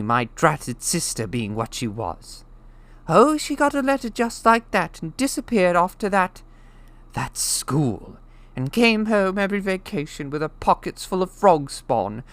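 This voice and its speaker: A man's voice: on the high side, a bit bright, no echo, voice pushed up, heavily acted. A man voicing a bitter, scornful middle-aged woman in a storybook reading, ranting with spiteful, heavily stressed words. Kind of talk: storybook character voice in an audiobook reading.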